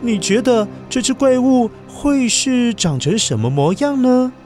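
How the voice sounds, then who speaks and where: A man narrates a children's tale in Taiwanese Mandarin at an unhurried pace, with music behind him. His delivery is dramatic and swooping, and he turns to his young listeners as he tells it. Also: very high voice, expressive, a little mellow. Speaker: children's story podcast narrator. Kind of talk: expressive scripted storytelling for children.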